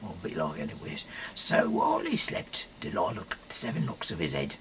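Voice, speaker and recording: A man reading scripture aloud in a halting, rambling way, often correcting himself and dropping in casual asides. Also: low voice, wildly swooping pitch, fairly bright tone, a little hiss or hum. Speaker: amateur reader of a Bible audio series.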